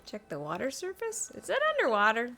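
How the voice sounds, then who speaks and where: A woman's voice: fairly high pitch, huge pitch swings, brisk, creaky, bright, forceful tone. A woman giving casual, playful commentary with wry asides as she streams a game playthrough. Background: game music.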